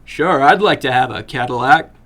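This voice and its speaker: Man playing a bright, boastful American youth. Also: medium pitch, moderate pace. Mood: wary, amused. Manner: loud, breezy, slangy. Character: boastful, casual American boy of about nineteen.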